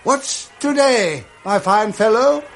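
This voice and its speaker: An excitable old Englishman, bubbling with joy and effusive goodwill. His voice rides high and swings widely in pitch, in the manner of 1960s radio drama. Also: takes it slowly, over music, full character voice. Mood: giddy joy. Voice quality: excitable, chuckling. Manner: exclamatory, effusive.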